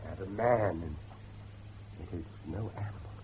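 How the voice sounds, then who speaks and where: An actor playing a grave, grieving father who believes in devilry, speaking emphatically with dramatic pauses in a horror drama. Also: sits low, dark tone, slight background noise, lightly acted. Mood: horrified awe. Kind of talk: dramatic horror dialogue acting.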